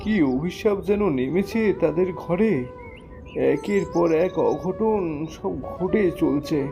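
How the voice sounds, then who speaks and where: A man's voice: sits high, slow, over music. An actor playing a troubled village gossip in a horror cartoon, lamenting as he shares bad news. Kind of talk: Bangla horror cartoon dialogue. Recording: dull lo-fi recording.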